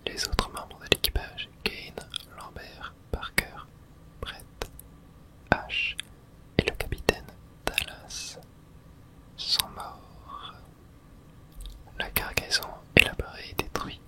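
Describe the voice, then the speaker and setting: Soft whispering ASMR gamer. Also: takes it slowly, pauses often. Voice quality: soft breathy unvoiced whisper. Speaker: video game streamer and host. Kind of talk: whispered ASMR game playthrough.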